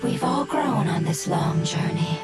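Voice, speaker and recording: A performer voicing a small, ancient forest spirit in a video game, solemn and measured. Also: medium pitch, animated, loud music behind the voice, close to an everyday voice.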